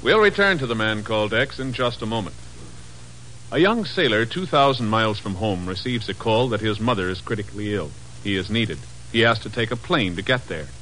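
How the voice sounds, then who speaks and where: A man announcing and narrating a 1950s radio programme in a scripted, deliberate, dramatic manner. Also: fairly low pitch, lively intonation. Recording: noisy old 1950s radio recording.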